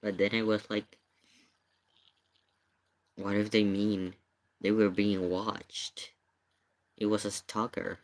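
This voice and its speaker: Man, low voice, casual streamer commentary, emphatic, questioning, repetitive phrasing. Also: flat delivery, takes it slowly, long pauses. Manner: emphatic, repeats points, questioning. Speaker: streamer reacting to paranormal investigation video. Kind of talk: casual live reaction commentary.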